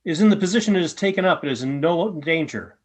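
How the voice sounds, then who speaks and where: A man acts out a calm alien being in a sci-fi radio play. The voice is fairly high and husky, rising and falling widely, yet the phrasing stays clipped, formal and measured. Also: low-quality recording. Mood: calm, reassuring. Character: calm, formal alien Rill speaking through robots.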